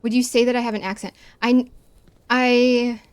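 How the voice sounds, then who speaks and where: A woman's voice: on the high side, level tone, moderate pace, smooth. A woman from the American Midwest with a Chicago accent, chatting casually while streaming a game, with long vowels and careful over-enunciation.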